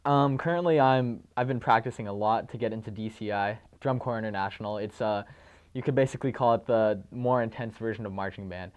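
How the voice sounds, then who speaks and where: A teenage high school drummer answering interview questions in a polite, steady, slightly formal way, clearing his throat now and then. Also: a little fast, lo-fi sound. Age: late teens.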